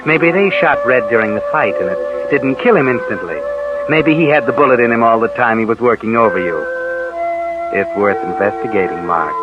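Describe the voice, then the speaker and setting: Actor as a sinister, taunting low-voiced radio narrator. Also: male voice, animated, moderate pace, natural voice. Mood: insinuating, taunting. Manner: insinuating, taunting second-person address. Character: sinister male narrator, needling a man like his conscience. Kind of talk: 1940s radio suspense narration. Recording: dull 1940s radio, loud music behind.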